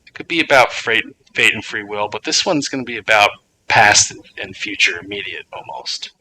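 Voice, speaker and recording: A man's voice: lowish voice, normal speed, pushed and bright, slight background noise, low-quality recording. A man co-hosting a podcast, chatting casually and enthusiastically about tabletop games. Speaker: podcast co-host. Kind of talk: casual tabletop gaming chat.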